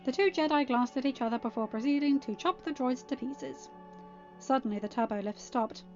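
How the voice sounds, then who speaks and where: A woman reading a fan fiction story aloud as an amateur narrator, in an expressive scripted style. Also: high voice, fairly quick. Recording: dull, band-limited audio with background music. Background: quiet music.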